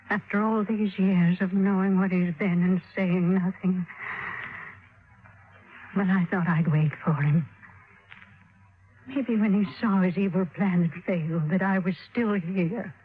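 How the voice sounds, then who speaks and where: An actress playing an anguished woman confessing her fears in 1950s radio drama, urgent and halting. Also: mid-range voice, close to an everyday voice. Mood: anguished, resigned. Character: anguished married woman confessing fear of a wicked man. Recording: tinny, band-limited old radio recording.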